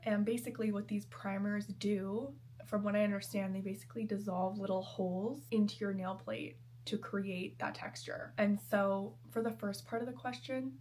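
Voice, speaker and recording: A woman's voice: mid-range voice, a little mellow, a little hiss or hum. A young woman, a nail technician, explaining step by step in a friendly way while sick and a little nasal. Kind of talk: explanatory tutorial talk.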